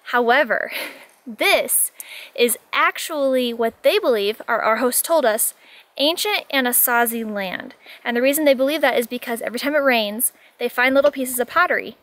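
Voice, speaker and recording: A woman's voice: on the high side, moderate pace, a bit bright, no echo. A woman narrating a casual travel vlog outdoors, talking with gushing enthusiasm. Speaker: RV travel vlogger. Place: outdoors on a ranch.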